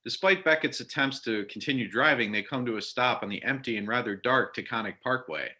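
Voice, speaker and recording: A man's voice: mid-range voice, a little fast, pushed and bright. A man reading scripted narration and stage directions for a readers theater play.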